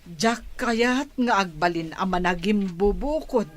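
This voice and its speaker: A woman plays a reproachful village wife and mother in an Ilocano radio play. She speaks slowly at a middling pitch, plainly and without hurry. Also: slight background noise, lightly acted. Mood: weary, disapproving. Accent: Filipino, Ilocano. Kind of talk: Ilocano radio comedy-drama acting.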